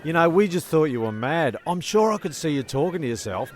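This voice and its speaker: A slightly high male voice acting out a bush castaway. He is deadpan and matter-of-fact in a comedy audio drama, over faint outdoor ambience. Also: fairly quick, a little hiss or hum.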